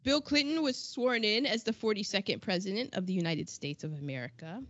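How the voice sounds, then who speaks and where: A woman's voice: mid-range voice, brisk, a bit bright, no echo. A chatty woman in her forties co-hosts a podcast, reading through past events with quips and playful acted quotes. Her voice sits dry and close. The recording is slightly dull. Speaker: podcast co-host. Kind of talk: casual chat reading out history notes.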